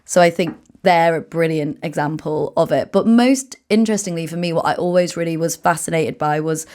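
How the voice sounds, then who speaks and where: A woman from England speaks in a notably low voice. She is a guest in a relaxed interview, telling brisk, lively stories full of "like" fillers. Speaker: guest, founder and author. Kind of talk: casual interview chat.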